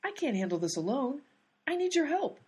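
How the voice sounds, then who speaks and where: A woman's voice: high voice, big pitch swings, fairly quick, a little mellow. A woman playing an exasperated, determined but inept detective in a radio comedy, with an emphatic, easily sidetracked manner.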